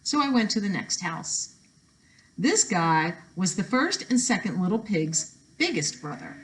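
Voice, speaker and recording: A woman reading a storybook aloud to children, expressive and emphatic, drawing out words and adding asides to her listeners. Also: on the low side, a little hiss or hum, rough recording.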